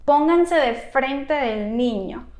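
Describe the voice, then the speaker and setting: High-pitched woman in an echoey room. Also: animated, slow, darkish tone. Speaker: therapist giving advice to parents. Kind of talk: explanatory video advice to camera. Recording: roomy, echoey room sound.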